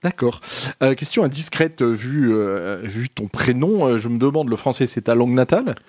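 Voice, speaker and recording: A man hosting a casual radio interview, relaxed and hesitant, with many fillers. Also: mid-range voice, normal speed. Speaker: radio host.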